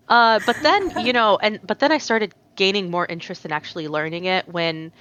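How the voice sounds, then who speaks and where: A woman's voice: medium pitch, normal speed, fairly bright tone, smooth. A young adult woman, a tatreez artist, chatting as a podcast guest, telling stories with lots of fillers and restarts and laughing often. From United States. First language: Arabic. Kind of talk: casual podcast interview.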